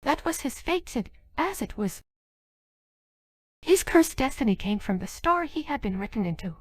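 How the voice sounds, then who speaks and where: A synthetic woman's voice playing a gentle, apologetic young female genie in a game cutscene dub, breathy and thin, with stilted, earnest delivery. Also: high voice, sing-song, normal speed. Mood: hesitant, solemn.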